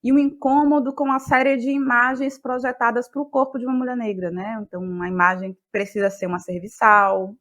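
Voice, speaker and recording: A woman talking as an interview guest, explaining her work in a thoughtful, expressive conversational way. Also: on the high side, a little fast, few pauses. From Brazil, Bahia. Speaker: guest, playwright and actress.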